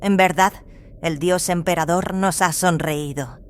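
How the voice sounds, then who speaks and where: A woman playing a serene, fervent religious zealot in an audio drama, speaking calmly and solemnly. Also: lowish voice, unhurried, a little hiss or hum, natural voice. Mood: serene, devout. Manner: calm, devout, solemn. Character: serene, fervent cloned female zealot from an isolated world. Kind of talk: dramatized audio fiction dialogue in Spanish.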